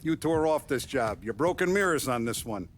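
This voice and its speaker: A man's voice: medium pitch, animated, normal speed, slight background noise, lightly acted. A man playing a ruthless middle-aged mafia boss in a video game cutscene, speaking with authority and menace. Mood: stern, displeased. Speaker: crime boss.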